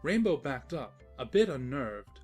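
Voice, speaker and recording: A woman's voice: rumbling low, very animated, unhurried, darkish tone, soft background music. A woman reading scripted comedic story narration as the storyteller.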